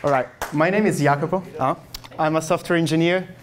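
A young man with an Italian accent, speaking English as a second language, gives a meetup tech talk into a handheld microphone, halting with many fillers and restarts. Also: on the high side, heavy background noise. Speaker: software engineer giving a meetup talk. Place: meetup room with projector, audience.

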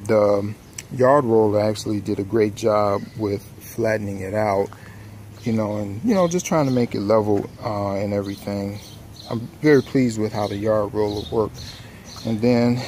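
A man with a lowish voice talks his way through a home project while filming it on his phone outdoors. He rambles easily, full of "um" and "you know", and there is a faint hiss of outdoor noise behind him. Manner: relaxed, rambling, many fillers and restarts. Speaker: home improvement vlogger. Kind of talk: casual filming-as-he-works walkthrough. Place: outdoors in a home front yard. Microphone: handheld phone camera.

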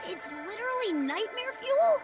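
An eager, brainy little fox boy from a video game with an American accent. The voice is high and animated as he earnestly explains things, with music underneath. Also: normal speed. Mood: incredulous. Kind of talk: video game cutscene acting.

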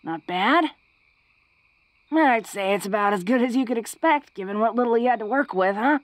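A woman playing a big, tough young orc who is secretly awkward and tender, speaking haltingly and intimately to the listener in a romantic audio roleplay. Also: fairly high pitch, expressive, dry recording, lightly acted. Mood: friendly, hearty. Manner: bashful, halting, breaking into soft chuckles. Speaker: voice actor.

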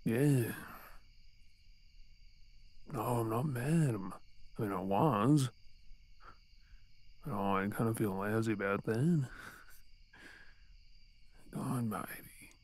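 Slow-talking Australian boyfriend, acted by a man. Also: fairly low pitch, a little mellow. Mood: soothing, tender. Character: exasperated but tender boyfriend with greying hair. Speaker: audio roleplay performer. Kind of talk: intimate ASMR boyfriend roleplay.

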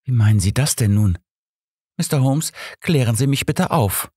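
A man's voice: medium pitch, animated, normal speed, dark tone, husky, dry recording, clean studio sound, pitched above the performer's own voice. A man voicing a curious, naive, polite young woman in an audiobook, earnest and questioning. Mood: pleading. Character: curious, naive, well-mannered young woman, the detective's companion.

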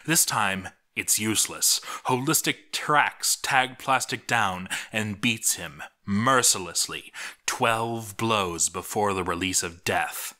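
Bright-voiced man narrating game battles dramatically. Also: on the low side. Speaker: narrator of a video game let's read series.

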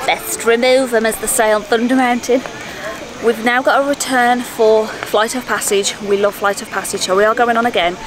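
A woman's voice: a little high, steady tone, moderate pace, rough recording. A woman vlogging in a casual, enthusiastic way as she talks to her viewers while walking through a theme park. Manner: chatty and enthusiastic, talking to viewers. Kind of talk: casual travel vlog chat. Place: outdoors in a busy theme park. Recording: loud outdoor park noise.

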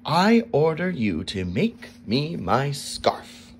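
A man reading a storybook aloud and voicing a greedy, boastful king who snaps and barks orders loudly. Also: lowish voice, very animated, slow, a little hiss or hum. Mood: demanding. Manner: loud, commanding, snapping.

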